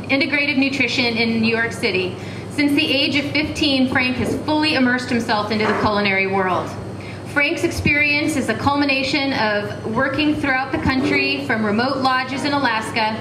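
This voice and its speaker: A woman hosting a live cafe talk, reading a guest introduction and asking questions, slightly flustered and stumbling over words. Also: on the high side. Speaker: event host. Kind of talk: reading an introduction, then interviewing. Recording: distant echoey mic with background noise.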